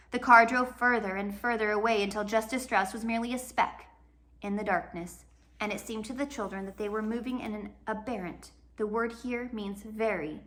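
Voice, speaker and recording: Bright-voiced woman reading a children's story. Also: medium pitch. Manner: dramatic, with swells and hushed drops. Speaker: storybook reader. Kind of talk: expressive read-aloud narration of a children's novel.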